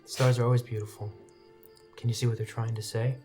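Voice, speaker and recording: A man voices a gentle young swordsman in a tabletop fantasy game. He is soft-spoken, wistful and earnest, with faint music behind him. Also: medium pitch, flat delivery, a little fast, natural voice.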